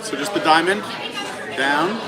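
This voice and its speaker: Casting: American male vlog host, fairly high voice, casual chat, busy indoor resort space packed with loud chatter. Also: unhurried. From Long Island, New York, USA. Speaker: live-stream host. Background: loud crowd chatter.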